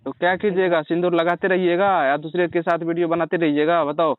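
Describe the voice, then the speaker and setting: Tinny phone call, fairly high male voice, blunt, badgering, accusing interviewer. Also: flat delivery, brisk, no echo.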